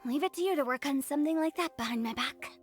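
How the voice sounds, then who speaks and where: A woman's voice: high voice, soft background music, lightly acted. A woman voicing a teasing funeral parlor director. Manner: teasing, theatrical, quick-witted. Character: playful, mischievous young woman who directs a funeral parlor. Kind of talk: video game voice acting.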